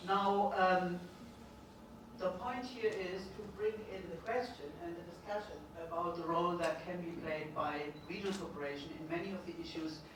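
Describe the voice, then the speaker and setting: A middle-aged woman speaking loudly at a policy conference, pausing often with fillers and restarts. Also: on the low side. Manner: hesitant, many fillers and restarts; loud projecting voice. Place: large conference hall. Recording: echoey hall from far off, noisy.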